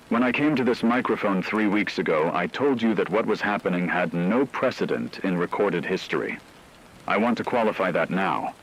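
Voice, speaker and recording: A man playing a solemn radio broadcaster delivering a measured, formal scripted monologue in an apocalyptic radio drama. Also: low-pitched, fairly bright tone, a little hiss or hum, full character voice, rough recording. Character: grave male radio broadcaster bearing witness to global collapse.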